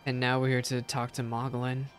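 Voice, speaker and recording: A man's voice: mid-range voice, completely flat delivery, normal speed. Young man, game streamer, casual commentary, chatty asides, music in the background. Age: young adult.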